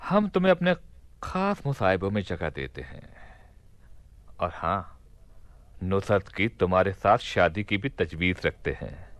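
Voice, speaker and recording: A man playing a commanding yet gracious Caliph of Baghdad in a radio play, with measured, regal, formal diction. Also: sits low, sing-song, a bit bright, no echo, heavily acted. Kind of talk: Hindi radio play acting.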